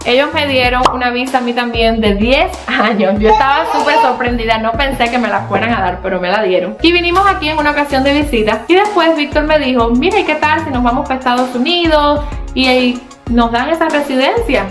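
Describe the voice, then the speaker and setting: A high-voiced Cuban woman whose accent carries a Dominican lilt, chatting through her own story and calling out to her audience. She sounds like she is in an echoey room, with music playing behind her. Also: a little fast. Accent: Dominican-tinged Cuban Spanish. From Cuba. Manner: chatty, addresses viewers as "señores". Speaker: storyteller in a reacted video. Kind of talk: personal story told to viewers.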